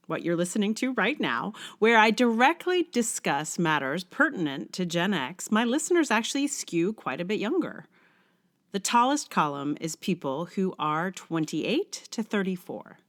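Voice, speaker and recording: A woman's voice: on the low side, big pitch swings, a little slow. Middle-aged woman with a head cold. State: congested with a cold. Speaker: podcast host. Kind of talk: casual intro, then reading own blog essay aloud.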